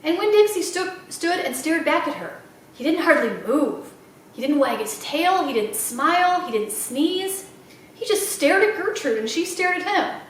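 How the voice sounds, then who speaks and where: A woman reading a children's book aloud as an expressive storyteller. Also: high voice, moderate pace, recorded from a distance, a little hiss or hum. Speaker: library read-aloud storyteller. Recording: very echoey room, away from mic.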